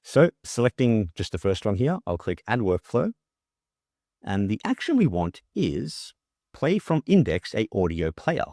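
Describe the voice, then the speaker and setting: A man presenting a software tutorial as a casual screen-share walkthrough, talking informally and thinking aloud as he demonstrates. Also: lowish voice, very animated, a little slow, no echo, studio quality.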